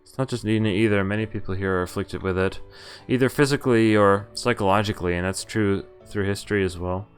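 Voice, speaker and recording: A man's voice: fairly low pitch, fairly even intonation, quick pace, clean tone, faint music behind. A man, a native Dutch speaker, streaming a visual novel, reading it aloud and commenting casually as he goes, sometimes stumbling over words. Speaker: game streamer.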